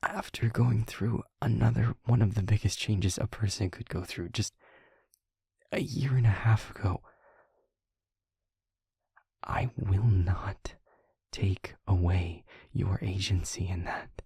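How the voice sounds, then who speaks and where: Casting: a man to play a gentle, protective vampire boyfriend for audio roleplay; low, soft, husky near-whisper, earnest and intimate. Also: no echo, clean studio sound, exaggerated voice. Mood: earnest, loving. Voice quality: breathy, husky, half-whispered. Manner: slow, halting, tender, earnest delivery. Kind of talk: intimate audio roleplay, ASMR-like scripted acting.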